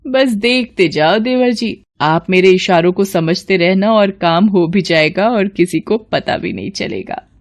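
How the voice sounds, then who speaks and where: A woman's voice: mid-range voice, sing-song, measured pace. A woman voicing a vain, scheming elder daughter-in-law in a cartoon family melodrama, with a theatrical, wheedling manner.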